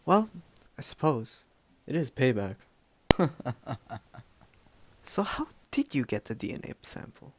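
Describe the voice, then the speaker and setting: A man acting a gruff, wry police commissioner in an amateur radio play. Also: medium pitch, very animated, takes it slowly, long pauses, heavy background noise. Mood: wry, puzzled.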